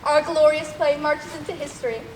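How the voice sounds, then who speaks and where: A woman's voice: high-pitched, steady tone, moderate pace, close to an everyday voice. A young woman playing a pompous, domineering radio playwright and announcer, grandiose and bossy, in theatrical stage comedy. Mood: imperious. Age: young adult. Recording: roomy noisy stage hall, far mic.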